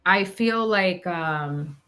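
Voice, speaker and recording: A woman's voice: lowish voice, extremely slow, sharp tone. A woman talking reflectively in conversation, hesitant and searching for her words. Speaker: podcast host.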